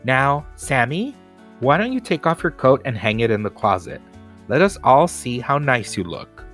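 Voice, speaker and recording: A man's voice: mid-range voice, very animated, normal speed, fairly bright tone, soft background music. A man voicing a prim, patient woman schoolteacher who scolds politely, reading a storybook. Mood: polite scolding.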